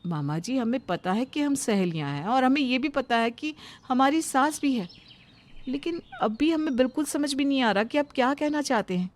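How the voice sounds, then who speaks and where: A woman's voice: high voice, lively intonation, normal speed, slight background noise, pitched above the performer's own voice. A woman voicing a polite, earnest young daughter-in-law in a cartoon story. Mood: puzzled, hurt. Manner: respectful, earnest.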